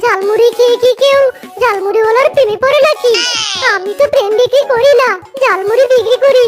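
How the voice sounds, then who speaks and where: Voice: very high voice, quick pace. A performer voicing a hapless male fox in a cartoon, pleading and protesting with melodrama. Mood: flustered protest. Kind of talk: exaggerated cartoon dialogue. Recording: loud music behind the voice. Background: loud children's cartoon music.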